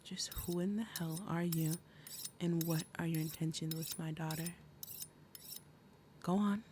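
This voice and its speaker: Low-voiced, menacing demon mother, acted by a woman. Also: steady tone, warm tone, slight background noise. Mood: tense, interrogating. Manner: falsely sweet, deliberate, pointed pauses, drops to breathy murmurs. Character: big menacing possessive demon mother, sweetly threatening. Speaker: roleplay audio performer. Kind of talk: ASMR roleplay, acted monologue.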